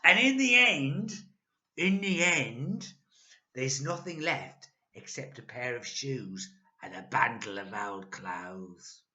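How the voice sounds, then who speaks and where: A woman voicing a nasty old husband who taunts his wife loudly and slowly. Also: extremely low pitch, heavily acted. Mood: gloating. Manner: loud, slow, mocking taunts. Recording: echoey room, dull lo-fi.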